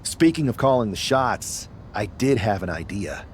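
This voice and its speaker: A man's voice: on the low side, lively intonation, moderate pace, a little hiss or hum, lightly acted. A man playing a determined, ambitious young wrestler in a video game cutscene. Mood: resolute. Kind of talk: scripted game cutscene dialogue.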